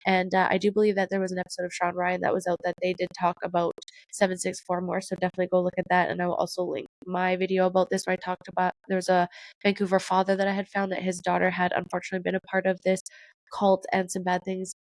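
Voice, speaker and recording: A woman's voice: fairly low pitch, monotone, fast talker, dry recording, low-quality recording. Congested, nasal-sounding woman podcaster. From Canada. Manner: chatty, conversational, reads articles aloud with asides. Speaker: podcast host. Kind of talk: casual news commentary podcast.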